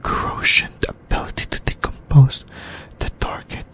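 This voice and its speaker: A YouTuber whispering an ASMR anime discussion in a halting way, in short broken phrases. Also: a bit bright. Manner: whispering throughout.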